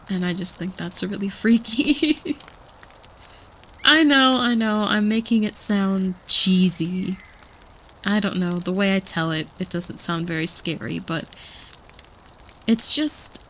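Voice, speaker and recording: A woman plays a nervous female student in a campfire audio drama. She tells creepy folklore casually and haltingly, circling back on her words in a mid-range voice. Faint ambience sits behind her. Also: medium pitch, a bit bright, slight background noise, low-quality recording. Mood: sheepish, spooked. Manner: hesitant, giggly, repeats herself. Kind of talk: casual campfire storytelling roleplay.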